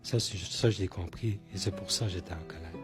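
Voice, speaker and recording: A man whose first language is Cree, giving slow, halting testimony as a survivor. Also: deep voice, over music. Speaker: residential school survivor.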